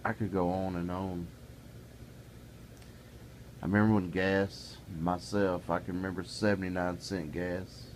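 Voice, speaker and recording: A man's voice: sits low, flat delivery, measured pace, pauses often, a little hiss or hum. A middle-aged man talking casually to camera in a plainspoken, folksy way, building into an exasperated rant. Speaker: vlogger. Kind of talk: casual ranting monologue.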